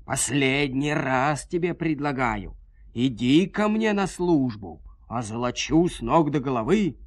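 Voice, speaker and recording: Villain king for audio fairy tale male narrator acting: menacing, commanding, drawn-out delivery, mid-range. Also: mid-range voice, lively intonation. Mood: menacing, coaxing. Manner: imperious, threatening, drawn-out.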